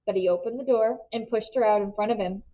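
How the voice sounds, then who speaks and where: A woman reading a novel aloud as an expressive storybook narrator. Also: medium pitch, quick pace, phone-quality sound.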